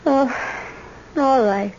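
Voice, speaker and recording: High-voiced, weary teenage girl. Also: extremely slow, a little mellow. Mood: weary, wistful. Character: weary, unhappy eighteen-year-old granddaughter. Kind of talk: old-time radio drama acting. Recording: dull old radio recording.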